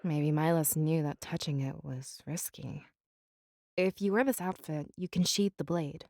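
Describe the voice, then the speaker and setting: A woman playing a gentle, earnest young furry companion in a visual novel, speaking with thoughtful concern. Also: deep voice, unhurried, vocal fry, full character voice. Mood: curious, thoughtful.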